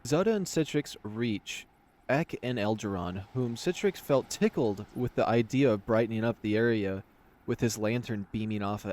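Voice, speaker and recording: A man's voice: mid-range voice, a little mellow. A man reading scripted fantasy story narration as the narrator of a visual novel.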